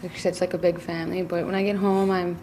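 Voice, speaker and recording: Young woman, flat casual voice. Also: lowish voice, fast talker, a little hiss or hum, low-quality recording. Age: young adult. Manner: casual, plain-spoken. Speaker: student. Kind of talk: conversational interview.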